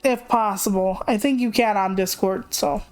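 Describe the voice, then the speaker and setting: A woman's voice: medium pitch, moderate pace, darkish tone, faint music behind. A woman livestreamer chatting casually in a candid, rambling, self-deprecating way, swearing freely. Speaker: video game livestreamer.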